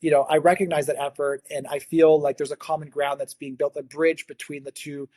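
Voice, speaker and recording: Higher-voiced man explaining in an interview. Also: little pitch movement, fairly quick, dark tone, dry recording, clean studio sound. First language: English. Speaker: guest, agritech consultancy founder. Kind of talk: interview answers, explanatory talk.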